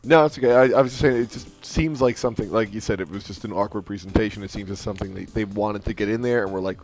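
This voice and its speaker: Quick talking guy podcast cohost, normal pitch, little bit of music behind. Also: darkish tone. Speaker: podcast co-host. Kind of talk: casual chat.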